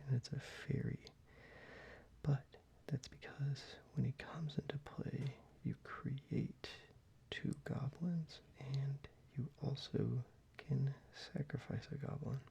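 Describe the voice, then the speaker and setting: A man speaking in a soft, breathy whisper, gently rambling in a casual ASMR style. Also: medium pitch. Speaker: ASMR video creator. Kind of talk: soft-spoken ASMR, casual card-collection show-and-tell. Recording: muffled, with background hiss.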